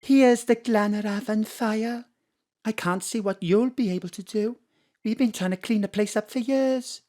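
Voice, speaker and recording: An actress playing a sultry, glamorous female secretary with a husky voice, speaking slowly and languidly. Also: medium pitch, mellow, lightly acted.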